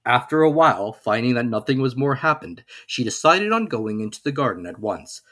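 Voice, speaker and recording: A storybook narrator with a lively, widely moving voice reads a children's classic. The delivery is theatrical and expressive, with an occasional small stumble over a word. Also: mid-range voice, a little fast.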